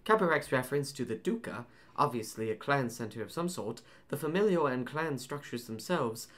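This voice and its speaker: A prim, fussy male protocol droid lecturing in a professorial tone, as a woman reader puts him on. His voice sits lower than the reader's own, precise and formal. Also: expressive, moderate pace.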